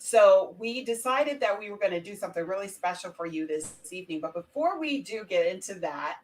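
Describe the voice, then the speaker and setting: A woman's voice: mid-range voice, lively intonation, brisk, slight background noise. A woman hosting a casual live show in an informal, rambling way, often correcting herself as she goes. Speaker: talk show host.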